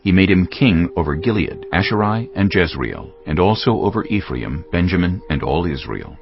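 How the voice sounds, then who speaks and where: Deep, gravelly male Bible narrator. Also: animated, moderate pace. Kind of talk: scripted dramatized Bible narration. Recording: dull lo-fi audio with music behind. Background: faint music.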